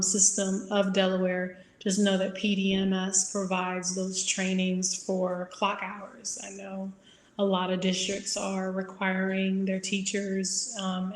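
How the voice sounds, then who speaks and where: A woman in her thirties with a Midwestern American accent talks over a video call, relaxed and loose, with frequent ums. Her pitch stays flat and even. The room sounds echoey. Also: slow pace, a little hiss or hum. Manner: relaxed, informal with many fillers. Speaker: guest speaker. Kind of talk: webinar talk. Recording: roomy video-call audio.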